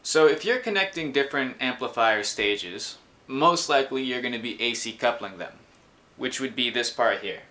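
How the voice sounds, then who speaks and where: Male host, twenties, bright tone, mid pitch, informal technical explainer. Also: expressive, normal speed. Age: 20s. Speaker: host explaining his electronics project. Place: small room indoors.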